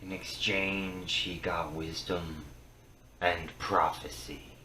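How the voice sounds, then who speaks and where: A man giving a solemn, theatrical reading of mythic poetry, in halting phrases that swing between hushed and booming. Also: steady tone. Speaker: storyteller reciting Norse myth. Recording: roomy, distant mic with faint noise.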